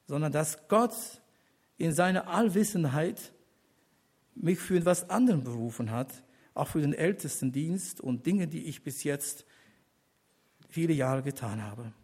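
Husky older man giving an earnest sermon testimony. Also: fairly high pitch, animated, darkish tone. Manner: earnest, deliberate with pauses, rising to emphatic appeals. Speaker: church elder giving a testimony.

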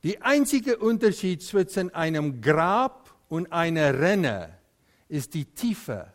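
A man preaching a sermon in German with an Afrikaans accent, Afrikaans being his first language, often pausing and searching for words. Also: a little high, big pitch swings.

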